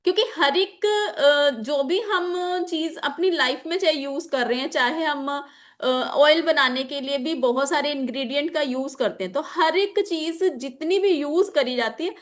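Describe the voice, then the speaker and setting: A woman's voice: high-pitched, measured pace. A woman gives an informal lesson. Her throat is a little sore. She explains point by point, repeating key phrases for her students and dropping English terms into her sentences. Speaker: teacher of an online class on chakras and magical oils. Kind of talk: informal spoken lesson with examples.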